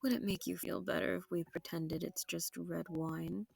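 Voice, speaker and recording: A woman's voice: on the low side, little pitch movement, normal speed, creaky, a little mellow, slight background noise. A woman playing a seductive, sadistic vampire noble in an intimate audio roleplay, genteel and teasing with menace, often sinking into whispers. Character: seductive, sadistic, possessive female vampire noble hosting her foe.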